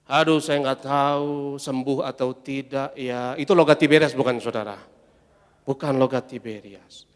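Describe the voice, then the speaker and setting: A man preaching a sermon as pastor, emphatic, swelling loud on his refrains and calling the congregation to say amen. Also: fairly high pitch. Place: large church hall. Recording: long church-hall reverb.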